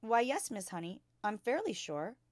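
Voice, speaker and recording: A woman's voice: a little high, very animated, moderate pace, darkish tone, dry recording, studio quality, pitched above the performer's own voice. A woman voicing a tiny, serious five-year-old schoolgirl in a children's storybook, polite and matter-of-fact. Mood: polite, certain. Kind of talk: children's storybook read-aloud.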